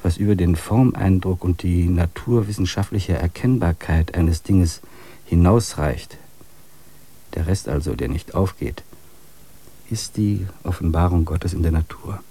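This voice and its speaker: A man narrating a radio play in a measured, contemplative way. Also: low voice, mellow, breathy edge.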